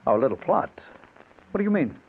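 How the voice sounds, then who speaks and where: An actor playing a nervously reasonable family friend, hesitant and placating, in an old-time radio drama. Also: male voice, on the high side, big pitch swings, fairly quick, gravelly. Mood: nervous pleading. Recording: tinny, noisy old radio recording.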